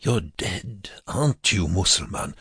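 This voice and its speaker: A hardened male prisoner in an audiobook drama, put on by a male narrator. His voice is rough and husky, raised from a low register. He goads with urgent, biting insistence. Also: big pitch swings, takes it slowly, no room sound, clean studio sound, full character voice. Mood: harsh taunting. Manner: goading, rough, half-whispered at times. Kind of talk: audiobook character voice.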